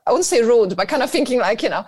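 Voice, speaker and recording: A woman's voice: a little high, lively intonation, fast, darkish tone, slightly rough, dry recording, clean studio sound. A woman with a Polish accent, a business founder talking at length as a radio interview guest and leaning often on "you know". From Poland. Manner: talkative, thinks aloud with many "you know" fillers.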